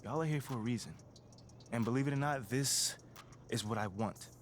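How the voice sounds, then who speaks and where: An actor plays a proud young male basketball star in a video game cutscene. He speaks in an emphatic, slangy way at a mid pitch. Faint noise sits behind him. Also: moderate pace, natural voice. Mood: defiant.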